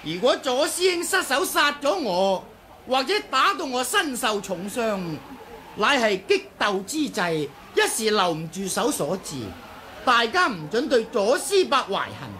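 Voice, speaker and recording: An actor playing a courteous, composed male sword master in a 1980 Cantonese radio drama, speaking in a measured, formal way. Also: very high-pitched, very animated, sharp tone, a little hiss or hum, exaggerated voice. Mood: solemn.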